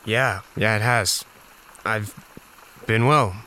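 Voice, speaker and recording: An evasive young man in a radio drama. He speaks low and slowly, in short hesitant replies that stay polite but awkward. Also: bright, forceful tone, poor audio. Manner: hesitant, curt. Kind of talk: audio drama dialogue.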